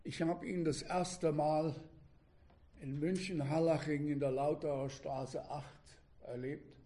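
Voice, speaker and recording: An elderly man in his eighties preaching to a congregation, speaking in deliberate, measured phrases with pauses and sharing personal reminiscences. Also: a little high, fairly even intonation, dark tone. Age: 80s. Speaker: preacher, former religious radio broadcaster.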